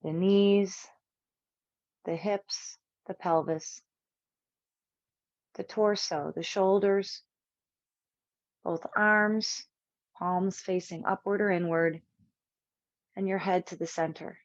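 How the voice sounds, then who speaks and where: A woman instructor leading a guided yoga relaxation, speaking in measured, soothing short phrases with long pauses. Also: medium pitch, fairly even intonation, no room sound, dull audio.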